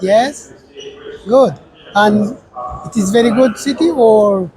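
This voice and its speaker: A man from Sudan hosting a friendly street interview at a train station, speaking haltingly with many restarts. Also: sits high, a crowd in the background, low-quality recording.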